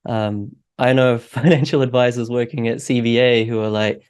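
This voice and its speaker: A man's voice: medium pitch, fairly even intonation, moderate pace, darkish tone, clear, resonant tone. A man hosting a casual interview, talking with a friendly, slightly hesitant manner. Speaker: podcast host.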